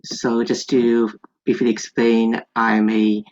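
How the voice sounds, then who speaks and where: A man's voice: medium pitch, monotone, takes it slowly. A young man, a theater student, politely and hesitantly asking a question on a dull, lo-fi online call.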